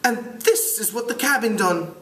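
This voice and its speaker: A man's voice: high-pitched, expressive, normal speed, pushed and bright, echoey room, pitched above the performer's own voice. A man voicing a plump, anxious, insistent English schoolboy with a put-on British accent, reading a novel aloud.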